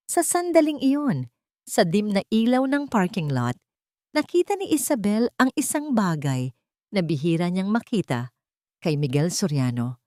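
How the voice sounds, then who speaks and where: A woman narrates a scripted romantic story. Her voice sits low for a woman. The recording is dry, with very little room sound. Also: a little mellow, no room sound.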